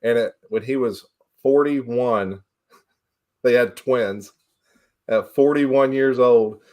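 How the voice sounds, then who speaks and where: A man's voice: mid-range voice, slow, a little mellow. A man in his forties hosting a casual chat, telling stories in a plainspoken, folksy way. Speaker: livestream host.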